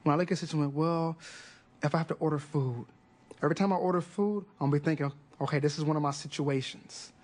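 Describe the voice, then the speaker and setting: A man's voice: fairly high pitch, fast talker, a little mellow. A man speaking in an upbeat, determined way in an interview about his stutter.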